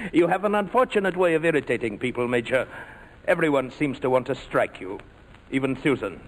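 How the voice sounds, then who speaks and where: An actor playing a smooth, menacing crime boss in 1940s radio drama style, mock-polite and coolly amused. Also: male voice, medium pitch, expressive, lightly acted. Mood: amused threat. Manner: suave, mock-polite, unhurried threats. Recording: noisy vintage 1940s radio recording.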